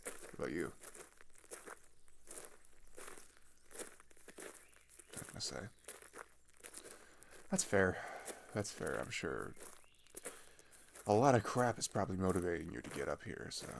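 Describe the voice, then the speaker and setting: A man's voice: sits low, expressive, normal speed, gravelly, long pauses, a little hiss or hum, heavily acted. A man playing an earnest, disillusioned teenage traveler in an ASMR roleplay, talking hesitantly and conversationally, often trailing off. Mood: wistful, subdued. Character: chatty, earnest, disillusioned male teenager on a journey. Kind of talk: ASMR roleplay, naturalistic improvised-sounding monologue.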